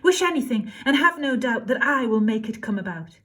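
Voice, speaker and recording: A woman voicing a brisk, kindly fairy godmother in a storybook reading. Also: a little high, expressive, natural voice. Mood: cheerful.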